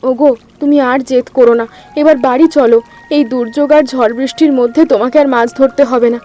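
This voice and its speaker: A woman voicing a fisherman's anxious young wife in a cartoon, speaking in a pleading, excitable way. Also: high voice, brisk, lightly acted. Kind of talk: cartoon voice acting.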